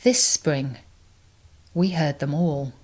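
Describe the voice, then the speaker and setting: A woman reciting poetry in a measured way, phrase by phrase. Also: low-pitched. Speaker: poetry reader.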